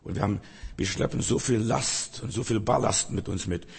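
A hoarse man preaching an earnest sermon full of rhetorical questions. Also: lowish voice, moderate pace. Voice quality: raspy, gravelly. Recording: long hall reverb.

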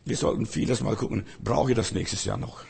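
Hoarse, gravelly preacher. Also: male voice, on the low side, a little fast, a little mellow. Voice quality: raspy, gravelly. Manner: earnest, exhorting, rhetorical questions. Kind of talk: sermon. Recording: long hall reverb.

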